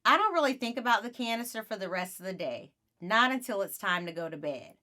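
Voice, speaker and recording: A woman narrating a children's book aloud in an expressive storytelling style. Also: medium pitch, brisk.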